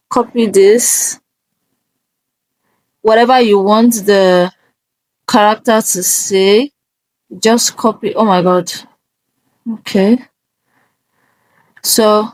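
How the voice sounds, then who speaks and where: Woman, tutorial host, slow pace, halting step-by-step manner, casual, dry sound. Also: mid-range voice, dry recording, rough recording. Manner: halting, step-by-step, often checking in with "okay". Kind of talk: casual screen-recorded software walkthrough.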